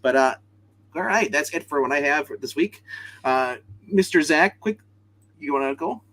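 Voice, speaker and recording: A man's voice: fairly high pitch, lively intonation, moderate pace, a bit bright. A man co-hosting a review show in casual, unscripted banter. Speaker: co-host of a comic review show.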